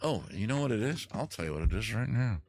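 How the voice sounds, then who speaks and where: A man's voice: fairly low pitch, very animated, quick pace, a little mellow, no echo. A man hosting a live stream, chatting casually between songs in a rambling, jokey, self-mocking way, a little tipsy from drinking. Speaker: live-stream host and singer. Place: room at home. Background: faint guitar.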